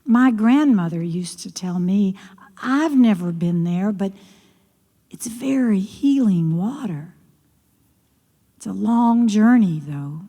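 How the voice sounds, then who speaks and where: A woman's voice: medium pitch, lively intonation, measured pace, mellow, long reverb tail, close to an everyday voice. A woman voicing a frail, sick queen who speaks in a weak whisper. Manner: whispering weakly.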